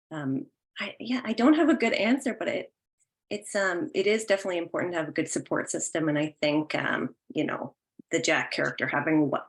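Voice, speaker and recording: A woman hosting a virtual question and comment session, encouraging and affirming as she talks, hesitantly searching for words. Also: fairly low pitch. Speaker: host of an online audience discussion. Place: online session, remote call.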